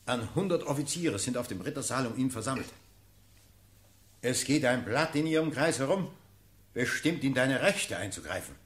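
A man's voice: mid-range voice, animated, moderate pace, fairly bright tone, natural voice. An actor playing a loyal officer who reports to his lord in earnest, formal verse, in a classical radio drama. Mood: grave, urgent.

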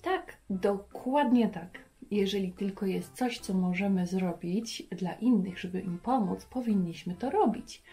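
A woman in her thirties telling stories to young children in an expressive way, often putting questions to her listeners. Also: medium pitch, a little slow, hardly any pauses, soft background music. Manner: expressive, addresses viewers with questions. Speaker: host of a children's Bible lesson. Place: furnished room indoors.

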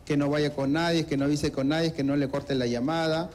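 A man's voice: on the high side, steady tone, brisk, darkish tone. Hesitant Peruvian man in his thirties. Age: 30s. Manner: hesitant, many fillers. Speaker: crime victim interviewee. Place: outdoors on a city street. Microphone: reporter's handheld mic.

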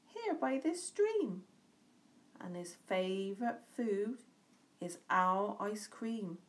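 A woman voicing a small, boastful, quick-witted mouse in a children's storybook, with emphatic delivery. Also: medium pitch, animated.

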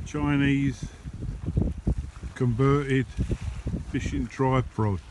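Older man, 60s, unhurried self-deprecating outdoor vlog chat; mid-pitched voice, heavy wind noise on a phone mic. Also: fairly even intonation. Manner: wry, self-mocking, unhurried asides. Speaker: angler vlogger. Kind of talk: casual chat to camera. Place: outdoors by a sea wall on an estuary shore. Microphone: phone camera held by the speaker.

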